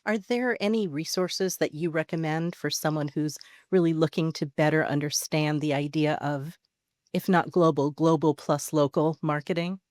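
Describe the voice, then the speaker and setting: A podcast host asking smooth, prepared-sounding interview questions. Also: mid-range voice, steady tone, normal speed, darkish tone, dry recording, clean studio sound.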